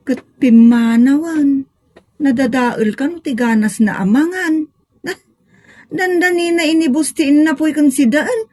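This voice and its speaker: Actress as exasperated family woman, Ilocano accent, higher voice, dry close sound, lamenting, comedic audio drama. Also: darkish tone, no echo.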